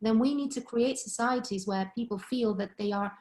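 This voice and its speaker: Woman in her 30s on a webcam call from home, measured, lots of "you know", talking it through. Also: a little high, a little mellow, slight background noise. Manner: measured, thinking aloud with many "you know"s. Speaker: guest expert on language and culture. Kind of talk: webinar discussion. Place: living room at home, indoors. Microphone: laptop webcam on a video call.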